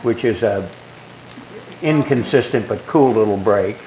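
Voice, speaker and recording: An older man with a low, growly bass voice, talking casually in a group and rambling through stories with many restarts. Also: unhurried, warm tone, a little hiss or hum, low-quality recording. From Southern California. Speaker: guest being asked questions.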